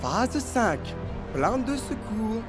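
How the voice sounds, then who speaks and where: A man playing a sinister, commanding villain hypnotist in an animated cartoon dub, drawing out his words. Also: sits high, heavily acted. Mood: gloating. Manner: slow, drawn-out, commanding. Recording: voice under loud music.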